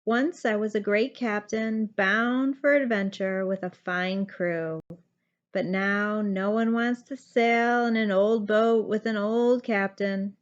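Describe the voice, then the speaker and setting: A woman's voice: mid-range voice, fairly even intonation, slow pace, muffled recording, lightly acted. A woman voicing a weary, self-pitying old male lizard captain in a children's storybook, sighing and groaning. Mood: wistful.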